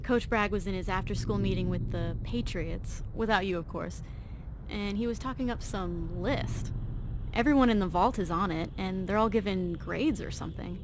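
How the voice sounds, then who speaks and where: With clear noise around the voice, a woman plays an anxious, driven teenage girl from a post-apocalyptic vault in a video game. She talks in a chatty, earnest, slangy way at a middle pitch. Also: moderate pace, close to an everyday voice. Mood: confiding. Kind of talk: video game mod dialogue acting.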